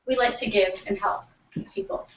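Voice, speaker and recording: A woman's voice: mid-range voice, very animated, moderate pace, fairly bright tone. A woman from Canada giving an informal, motivational business presentation to a group, enthusiastic and conversational, often putting questions to the audience. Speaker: presenter at a company team meeting. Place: room in a house, live audience. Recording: roomy, distant, dull, noisy live-feed recording.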